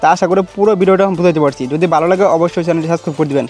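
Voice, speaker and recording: A man's voice: fairly high pitch, rapid-fire, darkish tone, soft background music. A man with a Bangladeshi accent hosting a casual step-by-step tutorial in colloquial, repetitive instructions. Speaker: tutorial video host.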